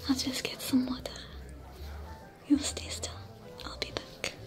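A young woman voicing a gentle alien smuggler who tends wounds, whispering softly and slowly with a French accent. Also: high voice, fairly even intonation. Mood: soothing whisper. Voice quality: soft breathy whisper. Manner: slow, soothing and caring. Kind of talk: whispered ASMR roleplay. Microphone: close to camera. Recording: whisper over loud music.

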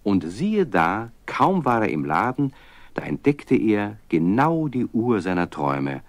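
A man's voice: fairly low pitch, very animated, unhurried, no room sound. A man narrating a scripted children's story, talking to his young listeners as a storyteller. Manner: storyteller addressing young listeners with teasing questions.

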